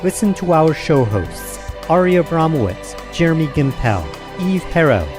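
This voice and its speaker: A man's voice: fairly high pitch, very animated, moderate pace. A man reading a scripted radio network promo in an upbeat announcer style. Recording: promo with clear music bed.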